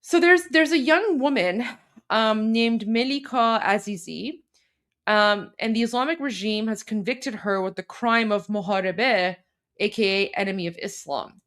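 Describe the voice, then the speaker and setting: A woman's voice: on the high side, measured pace, fairly bright tone. A woman hosting a casual livestream, chatty and full of fillers, Persian her mother tongue but speaking fluent English. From Canada. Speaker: livestream host.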